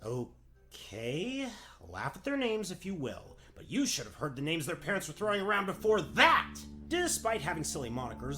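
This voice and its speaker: Wry, very animated storybook narrator man acting. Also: on the high side. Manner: tongue-in-cheek, mock-grand, chatty. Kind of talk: comedic scripted storybook narration.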